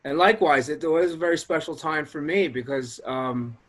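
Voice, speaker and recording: A man's voice: a little high, moderate pace, a bit bright. A man, a recovery coach and guest, talking earnestly and with energy in a casual interview. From Long Island, New York.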